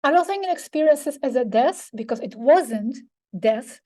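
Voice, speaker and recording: A woman, slightly hoarse, answering audience questions as a conference speaker, thoughtful and searching for words with frequent restarts. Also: high-pitched, lively intonation, moderate pace, darkish tone. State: slightly hoarse, losing her voice.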